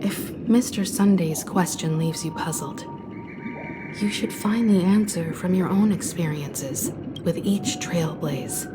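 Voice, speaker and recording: Casting: actress as enigmatic game wanderer, calm and contemplative, unhurried soft speech in a lowish register. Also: female voice, lightly acted. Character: calm, pensive, enigmatic woman wanderer with quiet sympathy. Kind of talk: scripted video game dialogue.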